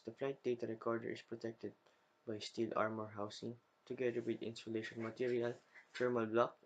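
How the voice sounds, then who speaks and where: A young adult man with a mid-pitched voice narrates a slide presentation from a script. He reads it out carefully, one phrase at a time, in the manner of a student presenting. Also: muffled recording.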